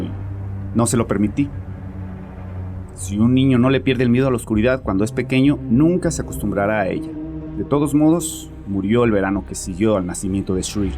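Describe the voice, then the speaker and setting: A man's voice: on the low side, lightly acted. An actor playing a bitter, harsh, troubled father confessing to a therapist in an agitated, defensive way in a horror audio drama. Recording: voice over background music.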